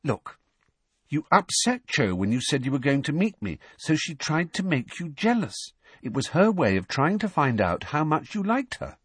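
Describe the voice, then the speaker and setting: A patient, brainy teenage girl, as a male reader plays her, lifted in pitch with lively swings. She explains everything carefully, a little exasperated, in a storybook voice with a breathy edge. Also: normal speed, no echo.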